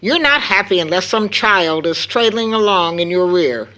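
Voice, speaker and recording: A woman's voice: on the low side, animated, normal speed, pushed and bright. A middle-aged woman acting a tired, stern but fond mother in a period audio drama, speaking with proper, precise, scolding phrasing. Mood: fondly scolding.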